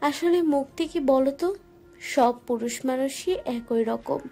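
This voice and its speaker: A woman voicing a sharp-tongued young wife who scolds and teases in an audio story. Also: high voice, measured pace, creaky. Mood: sassy. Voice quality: sassy, scolding. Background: soft music.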